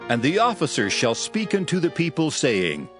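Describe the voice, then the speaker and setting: A man narrating dramatized scripture in old King James English, solemn and emphatic, with measured phrasing. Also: fairly high pitch, wildly swooping pitch, fairly bright tone. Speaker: audio Bible narrator. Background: background music.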